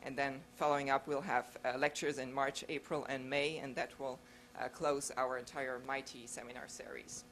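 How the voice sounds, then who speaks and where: A woman with a French accent, a native French speaker, hosting a seminar and introducing a speaker in a halting, formal way in a lecture room. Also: rumbling low, flat delivery, fairly bright tone. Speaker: seminar host.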